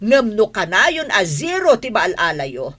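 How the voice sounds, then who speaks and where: A woman playing a strict, scolding high school teacher in a radio drama, husky and emphatic as she lectures. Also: very animated, a little slow, fairly bright tone, heavily acted. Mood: stern scolding.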